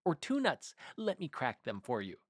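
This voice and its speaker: A man's voice: mid-range voice, huge pitch swings, normal speed, breathy edge, no echo, studio quality. A man voicing a small, kindly, chirpy chipmunk in a children's storybook, coaxing eagerly. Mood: cheerful coaxing.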